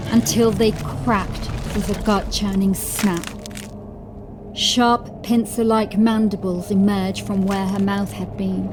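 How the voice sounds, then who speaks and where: A woman narrating a horror audiobook in a dramatic, scripted style, with measured, suspenseful storytelling. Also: mid-range voice. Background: music.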